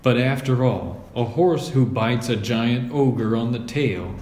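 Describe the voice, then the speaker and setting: A man's voice: medium pitch, expressive, slow, a little mellow. A man reading a children's story aloud as scripted narration. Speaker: storybook reader. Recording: roomy echo.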